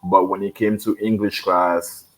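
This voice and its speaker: A deep-voiced Haitian man in his thirties speaks as a podcast guest. He talks softly and haltingly, with plenty of fillers, and faint background music sits under his voice. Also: flat delivery, rough recording. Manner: soft-spoken, hesitant with many fillers. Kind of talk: interview, personal storytelling.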